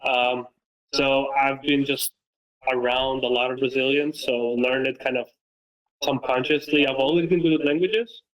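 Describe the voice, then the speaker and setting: A man's voice: mid-range voice, level tone, moderate pace, fairly bright tone, muffled recording. A young man from Romania, a native Romanian speaker, talking as a guest in a casual interview in an earnest, reflective way with plenty of fillers and restarts. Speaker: guest, football creator and coach. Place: lives in Massachusetts.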